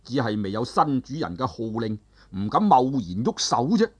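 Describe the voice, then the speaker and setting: A male storyteller narrating a traditional tale with animated, drawn-out phrasing and sentence-final particles. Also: medium pitch, breathy edge.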